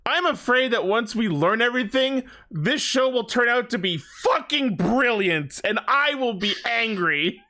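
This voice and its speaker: Man, podcast host, high pitch, forceful bright tone, casual opinionated fan chat, swears freely. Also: expressive, unhurried, hardly any pauses.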